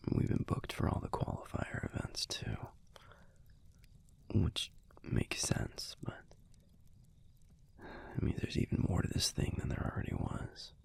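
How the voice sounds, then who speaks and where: Affectionate young alpha werewolf boyfriend acted by a man, audio roleplay, very breathy and husky, half-whispered, wry, intimate, unhurried. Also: deep voice, full character voice. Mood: tender, reflective. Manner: soft, unhurried, casual, salty-tongued.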